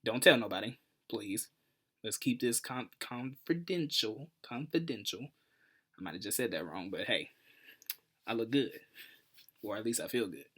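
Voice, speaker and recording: A man in his twenties with an American accent, hosting a casual storytelling vlog in an animated, playful, slangy way. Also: fairly low pitch, moderate pace, pauses often, slightly rough. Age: 20s. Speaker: YouTube host.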